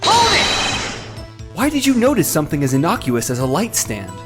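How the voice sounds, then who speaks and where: A man's voice: a little high, huge pitch swings, a little fast, music in the background. A man playing an anxious, easily flustered young defense attorney in a video game drama, stammering and exclaiming. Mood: urgent.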